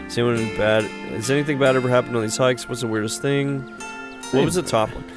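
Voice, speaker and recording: Expressive male storyteller over soft music. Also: mid-range voice, quick pace. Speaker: host, storyteller. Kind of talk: dramatic narration of a horror visual novel. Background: background music with guitar.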